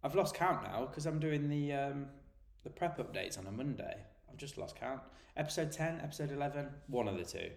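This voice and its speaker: A man's voice: medium pitch, lively intonation, brisk, gravelly, reverberant. A man, a health and nutrition coach, hosting a solo podcast and explaining things in a chatty, plain way while stuffed up from a cold.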